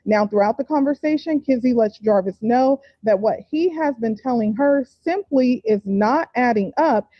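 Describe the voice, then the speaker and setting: A woman's voice: fairly high pitch, moderate pace, hardly any pauses, dry recording, highs cut off. A woman narrating true-crime commentary from a script.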